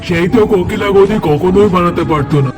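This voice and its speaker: A man's voice: high voice, fast, close to an everyday voice. A man playing a doting, flirtatious husband in an amateur doll drama, gushing theatrically. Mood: enraptured. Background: music.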